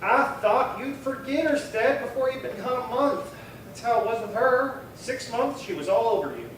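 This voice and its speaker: An anxious rancher acted by a man with a high voice, pleading and halting in a western radio drama. The sound is distant, very echoey and noisy. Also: animated, a bit bright. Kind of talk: old-time western radio acting. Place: live theatre stage performance. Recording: very roomy, distant, noisy stage pickup.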